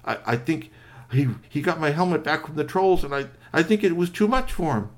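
A man voicing an earnest, excitable biker in a children's story, hesitant and bewildered. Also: on the high side, a little fast, voice pushed up, natural voice. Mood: worried.

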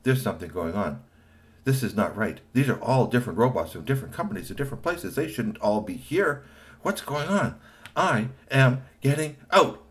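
Man doing a helpful lil storybook robot, pitched way up, keen to help. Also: lively intonation, moderate pace, natural voice. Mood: alarmed resolute. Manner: bright and eager to help. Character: eager helpful male robot, later determined.

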